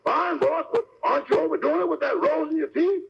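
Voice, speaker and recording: A man playing a cheeky, wisecracking valet with a gravelly voice in a 1940s radio comedy. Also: very high-pitched, steady tone, fairly quick, heavily acted. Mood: impudent. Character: cheeky, wisecracking male valet to a vain boss. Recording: old lo-fi radio comedy recording, faint noise.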